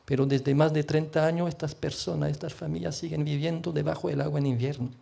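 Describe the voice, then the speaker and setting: Panelist, male, foreign accent, gravelly and dark-toned, halting delivery. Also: fairly high pitch, fairly even intonation. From outside Chile. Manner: halting, searching for words. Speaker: forum panelist. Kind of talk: radio panel discussion.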